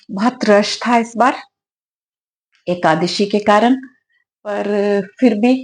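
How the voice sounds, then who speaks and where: Woman, slightly hoarse, very slow pace, gentle, affectionate, devotional vlog. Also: mid-range voice. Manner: gentle, affectionate, preachy at times. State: slightly hoarse, clearing throat. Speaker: devotional vlogger.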